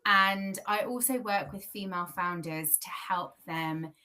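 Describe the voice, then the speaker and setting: Woman guest, bright clear voice, chatty and quick to laugh, heard over a video call. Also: mid-range voice. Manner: lively, laughs often, self-correcting. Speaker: guest, founder of a communications agency. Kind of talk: casual podcast conversation.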